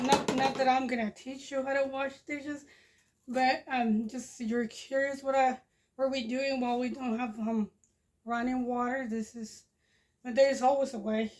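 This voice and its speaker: A woman's voice: high voice, steady tone, unhurried, a little mellow. A woman in her forties from Mexico with a Spanish accent, chatting casually and rambling as she washes dishes in her home kitchen, recorded on a nearby phone. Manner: rambling, restarts sentences, chuckles often. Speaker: homestead vlogger. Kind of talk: casual chat while doing chores. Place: home kitchen by a wood stove. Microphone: phone camera propped nearby.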